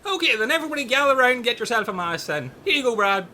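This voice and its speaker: A man playing an excitable, easily hurt castaway in a comedy radio play, with a rambling, earnest delivery. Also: very high-pitched, very fast, faint music behind, full character voice. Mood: brisk.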